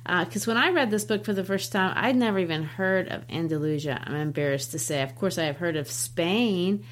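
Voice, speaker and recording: A woman's voice: fairly low pitch, brisk. A woman who teaches and co-hosts a book podcast, talking in a chatty way and laughing easily. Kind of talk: casual book discussion.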